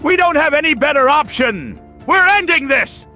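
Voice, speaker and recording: A man voicing a pompous, megalomaniac mad genius villain in a theatrical comic dub, gravelly and forceful. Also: very high voice, animated, normal speed, faint music behind, heavily acted. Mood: menacing.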